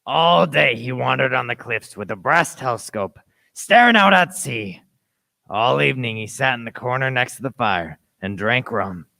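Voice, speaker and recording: A man's voice: mid-range voice, expressive, normal speed, creaky, bright, forceful tone. A man playing an earnest grown-up storyteller who recalls his boyhood in scripted, dramatic radio play narration. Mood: descriptive.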